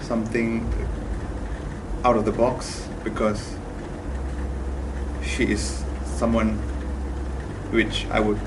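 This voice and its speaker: A man's voice: lowish voice, little pitch movement, noisy background. Earnest young man, mic at a distance. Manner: earnest, measured, with pauses and fillers. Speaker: groom-to-be interviewee. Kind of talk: reflective interview voice-over. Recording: distant mic with music underneath.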